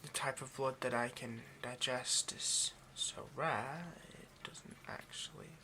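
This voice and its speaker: A man playing an obsessive, hungry vampire in an intimate ASMR roleplay, murmuring haltingly and often slipping toward a whisper. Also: mid-range voice, background noise. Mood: halting, explanatory. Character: obsessive, hungry male vampire, possessive and seductive.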